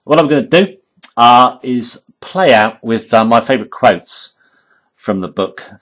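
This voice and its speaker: A hoarse man giving an informal tutorial voice-over, halting and emphatic, often checking in with "okay?". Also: mid-range voice, lively intonation, a bit bright. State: hoarse, losing his voice. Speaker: trading educator.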